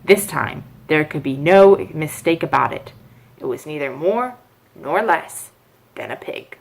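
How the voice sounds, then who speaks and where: A woman reading a children's bedtime story aloud in an expressive narrating style. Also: low-pitched, measured pace, creaky, rough recording.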